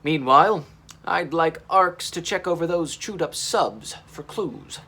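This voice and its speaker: Eager, high-pitched dinosaur captain, voiced by a man. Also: animated, measured pace, sharp tone, breathy edge, full character voice. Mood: keen.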